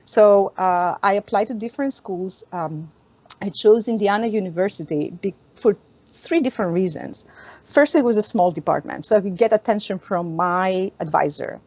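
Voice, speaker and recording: A woman from Greece, an astronomer answering interview questions in an animated, storytelling way, often laughing. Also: mid-range voice, moderate pace, dry recording, dull audio. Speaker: astronomer, guest. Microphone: video call.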